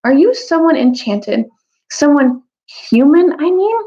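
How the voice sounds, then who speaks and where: A woman's voice: high voice, sing-song, measured pace, mellow, voice pushed up. A woman voicing a tender-hearted, eager young girl in a storybook, speaking in an earnest, coaxing way. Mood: earnest questioning. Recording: dull, lo-fi audio.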